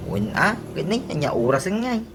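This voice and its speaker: Woman acting animated, exasperated Ilocano cousin. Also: lowish voice, moderate pace, loud music behind the voice. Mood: complaining. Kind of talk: comedy radio drama acting. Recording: clear background noise.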